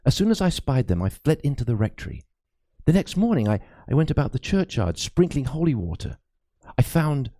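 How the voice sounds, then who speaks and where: A man's voice: medium pitch, big pitch swings, a little fast, mellow, no room sound. A man voicing a frightened, fervent medieval priest in an audiobook, pleading and stammering with dramatic urgency. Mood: horrified. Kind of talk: dramatic audiobook character acting.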